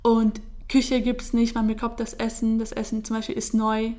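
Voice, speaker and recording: A woman's voice: a little high, completely flat delivery, normal speed, dark tone. A young woman from Syria, telling her story in German with many fillers and restarts. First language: Kurdish. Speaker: interviewee. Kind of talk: casual personal account.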